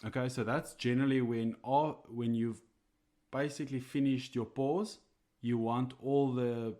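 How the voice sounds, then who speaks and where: A man's voice: mid-range voice, steady tone, unhurried, warm tone. A man whose first language is Afrikaans, co-hosting a podcast in casual, hesitant chat full of fillers and chuckles. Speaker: coffee roaster podcast co-host.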